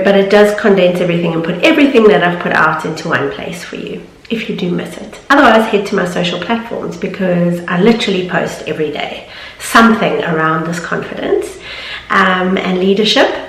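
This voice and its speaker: A woman's voice: fairly low pitch, normal speed. A woman, slightly nasal from hay fever, talking earnestly and chattily to her viewers. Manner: chatty, earnest, laughs easily. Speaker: vlogger and confidence coach. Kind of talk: casual talk to camera. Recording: echoey room.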